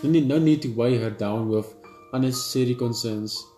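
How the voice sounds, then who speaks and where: A man reading a visual novel aloud in first person, steady and reflective, with small slips and restarts. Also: mid-range voice, moderate pace. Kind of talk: first-person story narration. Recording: roomy with game music. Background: game music.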